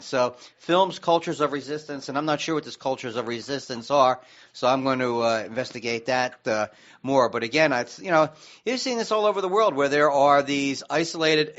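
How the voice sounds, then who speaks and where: A man's voice: fairly high pitch, fairly bright tone. Man, American accent, radio co-host, casual, rambling, frequent restarts, muffled lo-fi sound. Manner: halting and rambling, often restarting mid-sentence. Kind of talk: casual discussion on a radio show.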